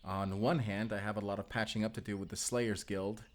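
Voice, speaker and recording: An actor playing an earnest, tender Spanish vampire slayer in an audio roleplay, speaking deliberately and intimately to the listener with a Spanish accent. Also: male voice, lowish voice, steady tone, natural voice. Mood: warm, thoughtful. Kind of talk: cinematic audio roleplay acting.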